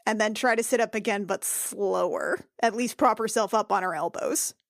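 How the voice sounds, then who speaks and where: A woman's voice: medium pitch, moderate pace, gravelly, dry recording, studio quality. A woman playing a tabletop roleplaying game, describing actions in a casual way. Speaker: player.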